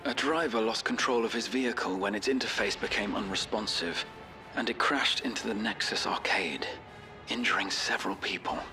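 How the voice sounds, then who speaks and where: An actor playing a courteous, caring young scholar with formal, old-fashioned phrasing in a game cutscene. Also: male voice, on the low side, moderate pace, bright, forceful tone, faint music behind. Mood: grave, concerned. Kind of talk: video game cutscene voice acting.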